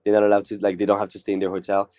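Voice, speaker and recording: A man's voice: lowish voice, monotone, racing, warm tone, no echo, studio quality. A man, a podcast guest chatting candidly and jokingly, acting out quotes. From France. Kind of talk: casual interview chat.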